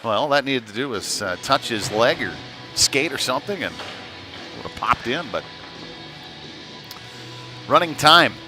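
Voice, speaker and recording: A man doing live hockey play-by-play in a loose, conversational way, with hesitant pauses mid-call. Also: mid-range voice, lively intonation, over music. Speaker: play-by-play commentator. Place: ice rink. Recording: noisy live rink ambience.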